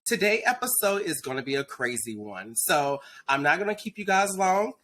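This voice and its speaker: A man's voice: fairly high pitch, very animated, moderate pace, bright, forceful tone. A man in his thirties from Dallas hosting a casual interview show over a video call, teasing and gossipy in manner. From Dallas, Texas. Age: 30s. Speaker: podcast host.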